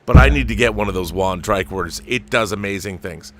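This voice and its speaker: A man's voice: fairly low pitch, a little fast. A man hosting a casual live show, chatting enthusiastically with rambling asides and reading viewer comments aloud.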